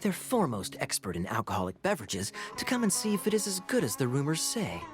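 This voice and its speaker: An actor playing a suave, sly male knight captain in a video game cutscene, teasing and smooth with playful chuckles. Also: fairly high pitch, huge pitch swings, quick pace, loud music behind the voice.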